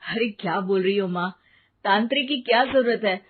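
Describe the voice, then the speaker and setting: A woman voicing a casual, sceptical grown-up son in an animated moral story. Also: mid-range voice, normal speed, breathy edge, close to an everyday voice. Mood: dismissive, teasing. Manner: casual, dismissive. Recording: narrow phone-like sound, faint noise.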